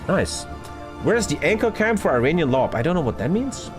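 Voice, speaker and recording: A man's voice: fairly high pitch, sing-song, fairly quick. A young man streaming a strategy game live, ranting and swearing at his chat. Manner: loud, swearing, jumpy rants to chat. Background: game music.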